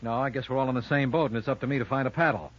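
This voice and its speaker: An actor playing a wry, cool-headed, slangy criminal lawyer and detective in a 1950s radio drama. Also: male voice, medium pitch, lightly acted. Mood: cool, probing. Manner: wry, quick-witted, slangy. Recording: muffled old-time radio recording.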